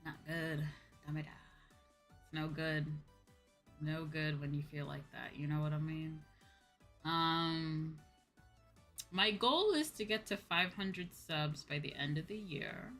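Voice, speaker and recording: A young woman chatting casually to viewers on a livestream in an expressive, rambling, self-deprecating way. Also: sits low. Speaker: VTuber streamer. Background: faint background music.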